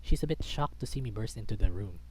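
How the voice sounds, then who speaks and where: A man's voice: fairly low pitch, animated, quick pace, darkish tone, husky, poor audio. A man reading a visual novel aloud as dramatic scripted narration. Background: background music.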